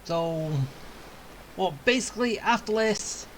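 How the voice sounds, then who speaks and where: A woman giving offhand gameplay commentary as a streamer, in short, halting remarks. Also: medium pitch, slight background noise. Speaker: game streamer.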